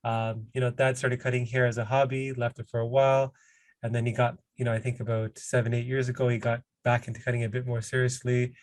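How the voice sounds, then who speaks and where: A man hosting a podcast, introducing his guest and asking questions in a relaxed, casual way. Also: mid-range voice, dry recording. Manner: relaxed, casual, uses fillers like "you know". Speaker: podcast host. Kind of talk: podcast interview.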